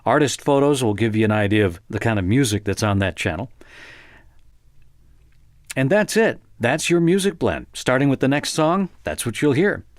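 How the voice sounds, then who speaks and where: An American man narrating a software tutorial conversationally, explaining step by step in an informal, encouraging way. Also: mid-range voice, expressive, normal speed, dry recording, studio quality.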